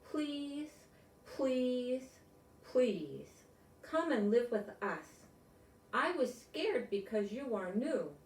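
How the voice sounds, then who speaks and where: An older woman voicing a small, earnest, excitable chipmunk in a storybook, speaking with pleading emphasis. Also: a little high, very slow, pitched above the performer's own voice, full character voice. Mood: apologetic, pleading. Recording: roomy echo, distant microphone.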